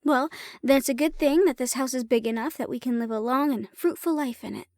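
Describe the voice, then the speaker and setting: High-voiced, affectionate young-sounding fantasy heroine, acted by a woman. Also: brisk, darkish tone, dry recording, studio quality, lightly acted. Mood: teasing, warm. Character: affectionate, teasing human woman, dragon's partner and healer shopkeeper. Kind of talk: roleplay audio drama addressed to the listener.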